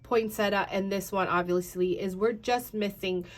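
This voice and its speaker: A woman's voice: medium pitch, steady tone, moderate pace. A tired, congested woman in her thirties chatting casually through a craft tutorial, rambling with many fillers and talking to viewers as "you guys.". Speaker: craft channel host.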